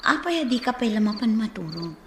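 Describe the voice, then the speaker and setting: An actress playing a gentle older sister in a radio drama, soft and concerned, with an Ilocano accent. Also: female voice, fairly high pitch, sing-song, fairly quick, echoey room, noisy background. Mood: tender, concerned. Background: music.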